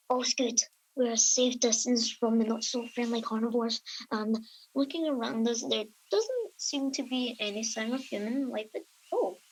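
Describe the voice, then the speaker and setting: A woman's voice: a little high, close to an everyday voice. Woman acting fussy field correspondent, noisy recording. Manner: fussy, breezy, theatrical. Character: posh, chatty, reckless time-travelling field correspondent, Nathaniel. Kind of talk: radio comedy acting as a live report.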